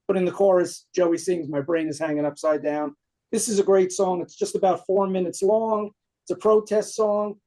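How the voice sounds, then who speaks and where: A man with a New York accent talks up his favorite punk track with eager, detailed enthusiasm. His voice sits fairly high for a man. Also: steady tone, moderate pace, warm tone. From Queens, New York. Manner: enthusiastic, detail-heavy storytelling. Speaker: guest, punk singer. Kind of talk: casual music discussion.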